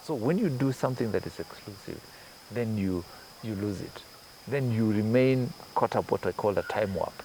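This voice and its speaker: A man's voice: fairly low pitch, animated, normal speed, mellow, a little hiss or hum, poor audio. A man from Kenya, a journalist guest in an interview, who explains his points patiently with examples. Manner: thoughtful, explains with examples and asides. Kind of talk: conversational interview.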